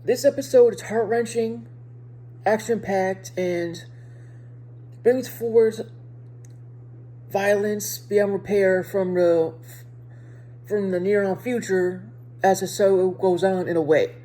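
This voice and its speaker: Woman, video reviewer, dark mellow tone, mid pitch, speech impediment, halting delivery, repeated phrases, casual. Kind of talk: casual episode review to viewers.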